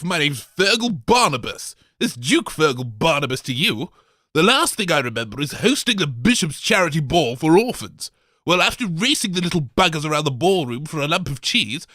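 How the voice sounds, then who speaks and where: A man's voice: a little high, bright, forceful tone, dry recording, clean studio sound, full character voice. A man playing a pompous, callous aristocratic duke in a comedy audio show, talking in a blustering manner. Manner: blustering, posh, gleefully callous. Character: pompous aristocratic duke, freed from mushroom parasites. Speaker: character in comedy radio show.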